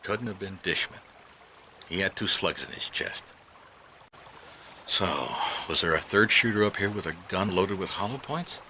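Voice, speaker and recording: Shrewd detective in a radio drama, low hard sharp voice, wry n accusing, bit of noise behind. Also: male voice, unhurried, pauses often, full character voice. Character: shrewd, dogged male private detective confronting a liar.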